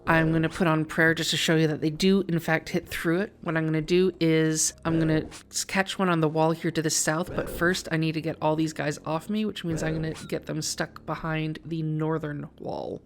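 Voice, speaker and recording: Woman with a low, creaky voice. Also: steady tone, fairly quick, hardly any pauses, a little hiss or hum. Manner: colloquial, playful. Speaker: game guide narrator. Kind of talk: casual video game walkthrough commentary.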